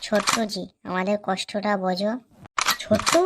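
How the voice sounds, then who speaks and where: A woman's voice: medium pitch, little pitch movement, moderate pace. A woman speaking dialogue for a comedy cartoon. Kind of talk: cartoon comedy dialogue voicing several characters. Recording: loud music behind the dialogue.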